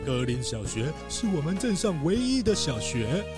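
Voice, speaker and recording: A man's voice: fairly high pitch, sing-song, moderate pace, music in the background. A man playing a humble, determined old recycling collector in a children's radio drama, speaking with earnest, rousing emphasis. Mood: earnest, proud.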